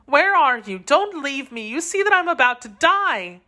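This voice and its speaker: Woman putting on a high, frantic dying young lady. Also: sing-song, moderate pace, pushed and bright, full character voice. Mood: faint, desperate.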